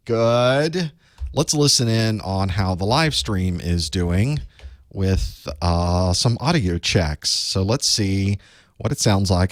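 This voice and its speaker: Low-voiced male instructor, a little raspy from a cold, loose and chatty with self-mocking asides. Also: no room sound, studio quality. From United States. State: chest cold, a bit raspy. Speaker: online course instructor hosting live study group. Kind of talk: casual live-stream chat.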